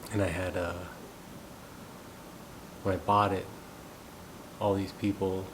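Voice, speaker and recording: Brief: thirties male, from the US, musing monologue, flat delivery, rumbling noise in the background. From United States. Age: 30s. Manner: thoughtful, unhurried, musing aloud. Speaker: gong practitioner sharing thoughts. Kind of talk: reflective personal monologue.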